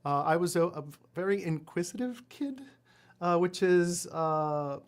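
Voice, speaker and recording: Fairly high-voiced male interview guest. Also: expressive, unhurried. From Puerto Rico. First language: Spanish. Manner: rambling storyteller with frequent fillers and self-deprecating asides. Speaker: guest, lawyer and entrepreneur. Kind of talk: casual interview chat.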